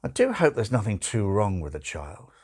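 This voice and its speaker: A man voicing a suave, sardonic male demon in an audiobook, urgent and brooding, with emphatic, drawn-out phrasing. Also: fairly low pitch, huge pitch swings, a little mellow. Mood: worried, grim.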